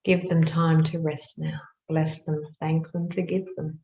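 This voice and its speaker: A woman guiding a meditation in measured, hushed phrases with long pauses between them. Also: low-pitched, level tone, vocal fry. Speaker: meditation guide. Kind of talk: guided meditation narration. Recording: roomy, echoing sound.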